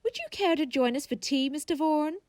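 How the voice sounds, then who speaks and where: High, animated young lady. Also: fairly quick, dry recording, clean studio sound. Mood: polite. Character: inquisitive, prim young lady cousin. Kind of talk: audio drama acting.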